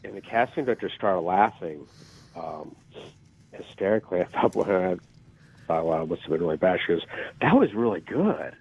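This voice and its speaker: A man with a very low, raspy voice recounts stories in a loose, rambling way with filler ums and a self-deprecating streak. The sound is thin and phone-like, as if he is calling in. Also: very animated, normal speed, no room sound. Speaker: actor guest. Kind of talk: casual interview storytelling. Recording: phone-like call audio.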